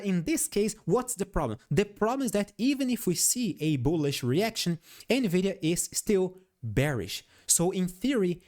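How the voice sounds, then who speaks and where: Animated high-pitched man with non-native accent. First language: not English. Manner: emphatic, repeats phrases, frequent "all right?" check-ins. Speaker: stock market analyst. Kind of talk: technical analysis commentary over charts.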